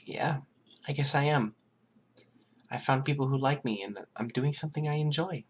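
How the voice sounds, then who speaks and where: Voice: medium pitch, normal speed, breathy edge, voice pushed up, natural voice. A performer voicing an anxious, polite teenage boy, hesitant and earnest, in an amateur audiobook. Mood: content.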